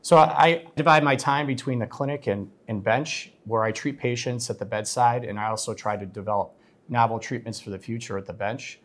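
A man speaking as a guest physician and researcher in a podcast interview, explaining in a measured, technical way. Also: mid-range voice, fairly bright tone.

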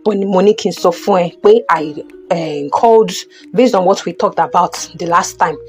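A woman's voice: on the low side, a little mellow, close to an everyday voice. Businesslike Nigerian woman played by an actress, helpful. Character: busy, helpful senior woman giving practical advice. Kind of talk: audio drama acting, Yoruba-English phone conversation.

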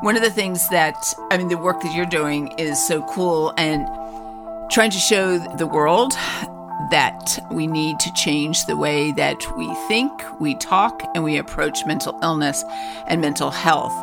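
Deep, creaky-voiced woman hosting an interview. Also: a little slow, over music. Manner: enthusiastic, asks open questions. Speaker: interview host, co-founder. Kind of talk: interview conversation.

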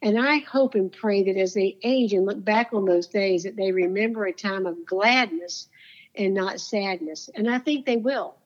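A woman's voice: medium pitch, moderate pace, dull audio. A woman guest in an interview, telling stories in an earnest, heartfelt way with a soothing voice. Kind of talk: casual interview storytelling.

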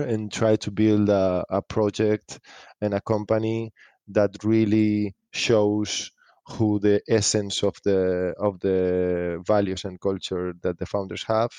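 A man answering interview questions as a startup founder, thinking aloud haltingly and repeating phrases. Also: on the low side, dark tone, clear, resonant tone, dry recording. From Spain.

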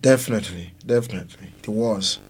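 Husky Congolese man speaking haltingly. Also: lowish voice. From Democratic Republic of Congo. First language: Luo. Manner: halting, deliberate phrasing. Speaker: interview guest, preacher. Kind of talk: personal testimony in an interview.

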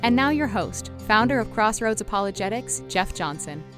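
A woman reading a scripted podcast introduction in a measured, even manner. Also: medium pitch. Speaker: show announcer. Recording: voice over music bed.

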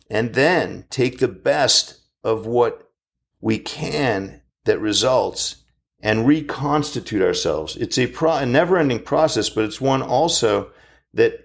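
A man in his fifties giving a slow, earnest, reflective motivational talk with measured pauses. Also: medium pitch, vocal fry. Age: 50s.

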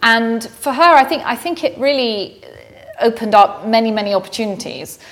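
A woman's voice: fairly high pitch, moderate pace, fairly bright tone. A woman working as a museum curator explains artworks in a gallery in a knowledgeable, explanatory way. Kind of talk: explaining art on a gallery tour. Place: art gallery, in front of a painting.

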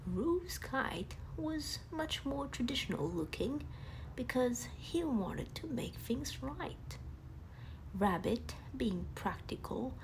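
A woman reads a children's bedtime story very slowly and gently. Her voice is fairly high, with a noticeable creak, and there is faint noise behind it. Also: low-quality recording.

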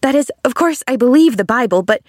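A woman's voice: sits high, expressive, fast talker, a little mellow, no echo, studio quality, voice pushed up. A woman voicing a cheerful, naive little girl in an audiobook, eager and earnest, rambling on chattily. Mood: earnest, chatty. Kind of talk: audiobook character dialogue.

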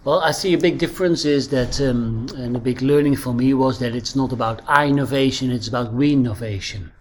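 A man with a Dutch accent answering interview questions as an innovation trainer, earnest and practical, pausing often with ums. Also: mid-range voice, darkish tone, slight background noise. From Netherlands.

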